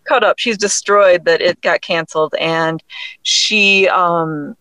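A woman's voice: fairly low pitch, takes it slowly, bright, forceful tone, no room sound. A woman guest, a writer, talking in an enthusiastic, chatty way in a casual interview. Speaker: guest, writer and audio drama creator.

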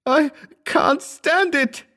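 A man's voice: very high-pitched, very slow, sharp tone, voice pushed up, full character voice. A man voicing a tormented, half-mad wounded man in terror, wailing shrilly and melodramatically in a horror audiobook. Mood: agonized. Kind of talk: dramatic horror audiobook character reading.

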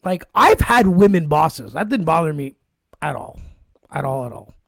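Guy cohosting a podcast, slightly high voice that jumps all over, joking and rambling, dry close sound. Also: moderate pace, gravelly, dry recording. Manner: joking, rambling, animated asides. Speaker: podcast co-host. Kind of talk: casual podcast chat.

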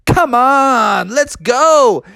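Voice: high-pitched, expressive, extremely slow, gravelly, sharp tone, no echo, clean studio sound, pitched above the performer's own voice, heavily acted. A storyteller voicing a cool, breezy male cartoon hedgehog game hero, coaxing in laid-back slang for a children's story. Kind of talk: character voice in improvised children's story.

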